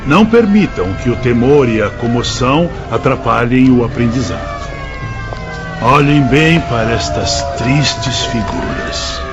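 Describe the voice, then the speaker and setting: A man's voice: mid-range voice, animated, measured pace, dull audio, music in the background, lightly acted. An actor playing a grave master instructing his spirit pupils, solemn, in radio drama style with a Brazilian accent. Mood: grave, encouraging.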